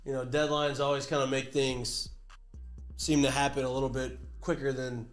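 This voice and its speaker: A man's voice: mid-range voice, little pitch movement, moderate pace, roomy sound, faint music behind. A man hosting a casual sports talk show, slightly nasal from a cold, rambling and joking as he corrects himself. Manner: rambling, self-correcting, jokey. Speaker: podcast host.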